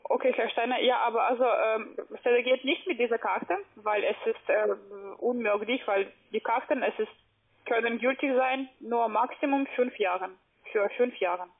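A woman working as a call-center caller, speaking halting, broken German with many hesitant fillers. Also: a little high, fairly even intonation, slightly rough. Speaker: phone scam caller. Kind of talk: phone call. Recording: narrow phone line.

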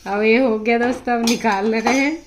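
A woman talking warmly to her viewers while she cooks in an echoey home kitchen. Her voice sits a little high. A pan sizzles and fries loudly the whole time, so it is a home-video recording with plenty of kitchen noise. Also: steady tone, fairly quick. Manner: addresses viewers as friends, laughs often while cooking. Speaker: cooking vlog host. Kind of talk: chatty cooking vlog narration to viewers.

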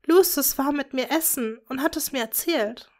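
Woman reader voicing a shy young witch, teary, high, eager. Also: normal speed, a little mellow, natural voice. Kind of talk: fan fiction reading.

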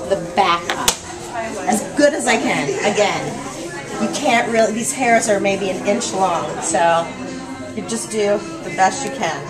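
A woman in her thirties, a hair stylist, casually explaining a styling tutorial step by step in a salon. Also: mid-range voice. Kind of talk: how-to tutorial. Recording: salon video with loud music behind. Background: loud background music.

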